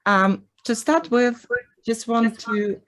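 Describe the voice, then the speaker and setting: A woman's voice: fairly high pitch, takes it slowly, fairly bright tone, poor audio. A woman from Ukraine with a Ukrainian accent, whose first language is Russian, giving an earnest online presentation over a video call, with frequent ums and restarts.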